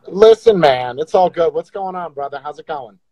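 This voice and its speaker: A man's voice: fairly high pitch, expressive, normal speed, dark tone, dry recording, low-quality recording. A man hosting a casual livestream, teasing and joking crudely with viewers on video calls. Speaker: livestream host.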